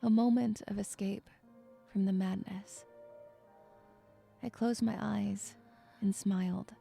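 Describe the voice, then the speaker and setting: A woman's voice: medium pitch, steady tone, takes it slowly, vocal fry, warm tone, soft background music. A woman playing an anxious, withdrawn young woman who narrates her own story in a dramatic, introspective and sometimes hesitant way. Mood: dazed, fading. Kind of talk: first-person dramatic narration with dialogue.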